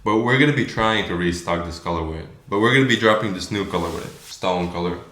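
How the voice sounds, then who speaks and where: A man's voice: sits low, quick pace, fairly bright tone. A twenty-year-old man from Latvia, a native Latvian speaker with a Latvian accent, talking casually and informally in a Q&A. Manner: informal, slangy. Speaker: clothing brand founder. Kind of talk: casual Q&A chat. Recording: echoey room.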